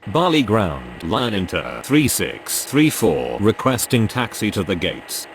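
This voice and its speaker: A man plays an air traffic radio operator in a simulation game. The voice is very low with strongly swinging intonation, and the phrasing is clipped and formulaic. Faint vehicle noise sits behind it. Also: sing-song, unhurried. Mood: businesslike. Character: procedural male pilot and air traffic controller. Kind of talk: scripted aviation radio calls.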